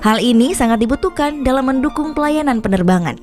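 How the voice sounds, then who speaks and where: A woman's voice: high voice, expressive, fast, darkish tone. A woman reading scripted narration for a news feature. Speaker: reporter and narrator. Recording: voice-over with clear background music. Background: music.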